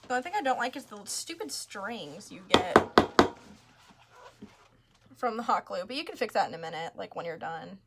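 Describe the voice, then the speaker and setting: A woman's voice: mid-range voice, animated, moderate pace, long pauses, noisy background. A woman casually hosting a live craft tutorial, chatting informally as she explains each step. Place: craft store.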